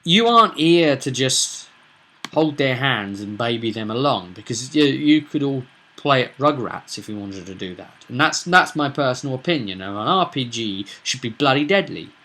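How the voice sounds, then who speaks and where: A man's voice: medium pitch, lively intonation, moderate pace, pushed and bright. A British man giving casual, unscripted commentary as a game master, emphatic and opinionated, rambling along with rhetorical questions. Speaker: tabletop roleplaying game master and vidcast host.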